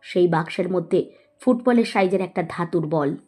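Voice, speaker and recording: A woman's voice: lowish voice, moderate pace, warm tone. Woman narrating a story, faint music.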